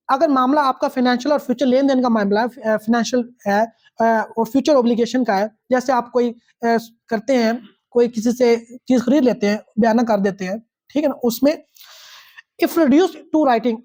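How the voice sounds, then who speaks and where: A woman's voice: fairly high pitch, a little mellow. A woman with a Pakistani accent lecturing on law, reading out statutes and explaining them instructively, repeating phrases and checking understanding.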